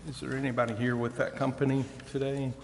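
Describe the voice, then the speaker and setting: A man speaking as a council member in a public meeting, with halting, deliberate phrasing. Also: medium pitch, little pitch movement. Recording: echoey hall with long reverb, faint noise.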